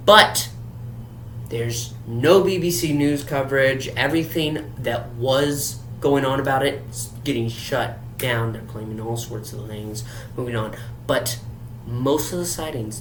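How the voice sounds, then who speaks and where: A man reviews and recaps an episode on his own at an unhurried, slow pace. He stammers and doubles back on words, sounding earnest and mock-serious. The room echoes around him, and a noticeable hum sits behind his voice. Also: medium pitch, fairly bright tone. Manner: halting, with stammered repeats. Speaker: host reviewing an audio drama. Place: echoey room away from usual setup. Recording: roomy echo with clear background hum.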